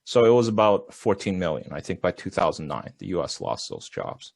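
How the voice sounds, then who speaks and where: A man's voice: deep voice, sing-song, brisk, vocal fry, no room sound. A man explaining points in a casual video-call panel discussion through a headset, full of fillers like "you know" and "like". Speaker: podcast panelist.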